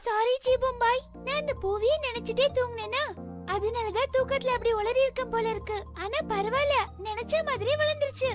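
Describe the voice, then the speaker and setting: A woman voicing an eager, impatient little schoolgirl in a children's cartoon, with an excitable, pleading manner. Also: very high-pitched, brisk, music in the background. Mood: excited, coaxing. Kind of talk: Tamil-dubbed children's cartoon acting.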